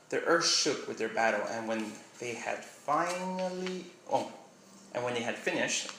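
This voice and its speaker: A young man with a slight Miami accent talks in a bright, forward voice. He rambles casually and trails off often. The room is echoey, with a faint hiss behind. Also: mid-range voice. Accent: slight Miami English. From Miami, USA. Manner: rambling, trails off with "I don't know". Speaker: vlogger. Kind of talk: casual vlog chat, short read-aloud passage.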